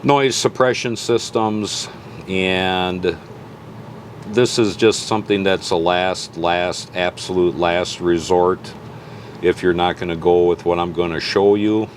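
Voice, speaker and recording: A man with a deep voice talks through a how-to at an unhurried, slow pace. He is plainspoken and folksy, like an informal tutorial host. A light background hum sits under the recording. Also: fairly even intonation. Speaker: host of a car audio tutorial channel.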